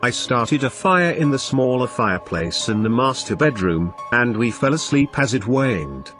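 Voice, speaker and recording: A man reading a scripted first-person horror story as an expressive narrator. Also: medium pitch, normal speed. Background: background music.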